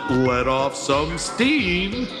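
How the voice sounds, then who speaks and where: A man's voice: a little high, sing-song, very slow, exaggerated voice. An actor playing a flamboyant, mischievous con man in a video game, theatrically chummy and teasing. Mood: gleefully chummy. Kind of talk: video game character acting. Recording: echoey reverberant space with game music.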